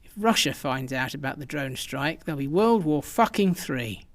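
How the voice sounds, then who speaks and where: A man's voice: on the high side, expressive, moderate pace, natural voice. A man acting in a radio drama as a ruthless intelligence chief, brusque, assured and coolly dismissive. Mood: stern, urgent.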